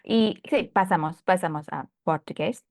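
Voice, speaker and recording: Woman host, lower voice, dry close sound, video call, attentive, many short murmurs. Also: normal speed, dry recording. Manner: attentive listener, frequent backchannel murmurs. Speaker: host, language learner. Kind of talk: casual conversation.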